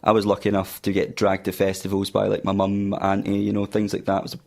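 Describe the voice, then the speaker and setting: Gravelly Scottish guitarist from Fife. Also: male voice, sits low, fast. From Fife, Scotland. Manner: relaxed, says aye, wee. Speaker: guitarist, band guest. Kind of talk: casual radio interview. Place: radio studio.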